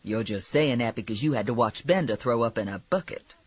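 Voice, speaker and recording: A man voicing a brash, sassy, loudmouthed woman with blunt, slangy, indignant talk in an audiobook. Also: medium pitch, brisk, slightly rough, no echo, muffled recording, close to an everyday voice. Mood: teasing.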